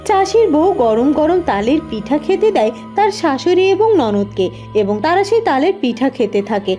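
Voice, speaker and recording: A woman's voice: high-pitched, big pitch swings, moderate pace, dark tone, faint music behind. A woman narrating a children's fairy tale as a scripted storyteller.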